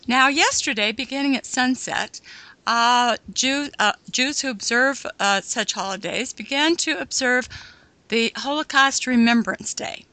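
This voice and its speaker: Bright-voiced woman radio host. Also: on the high side, no echo. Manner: measured, halting with many fillers. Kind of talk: unscripted radio interview.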